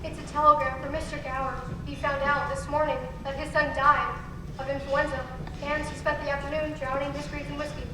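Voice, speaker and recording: A woman's voice: high-pitched, little pitch movement, brisk, noisy background, natural voice. A young woman playing the patient storytelling narrator of an old-fashioned radio play. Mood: calm storytelling. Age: young adult. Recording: echoey hall, distant mic, loud music.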